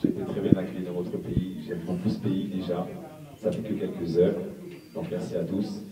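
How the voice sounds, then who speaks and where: A man's voice: deep voice, flat delivery, normal speed, roomy sound, distant mic, very noisy. A male DJ politely thanking his hosts and answering a question.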